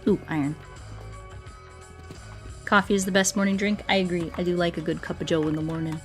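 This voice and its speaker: A woman doing a casual gaming livestream, rambling playfully and thinking out loud as she chats with viewers. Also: lowish voice, steady tone, fairly quick, faint music behind. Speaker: streamer playing Minecraft and chatting with viewers.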